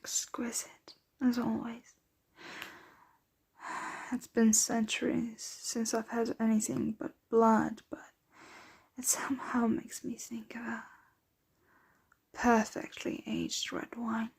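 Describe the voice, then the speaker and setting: A woman playing a centuries-old, doting, aristocratic vampire mistress in an ASMR roleplay, speaking softly, tenderly and without hurry, often slipping into whispers. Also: a little high, little pitch movement, vocal fry, breathy edge, heavily acted. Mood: hungry, tender. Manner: soft, unhurried, tender, often drifting into whispers.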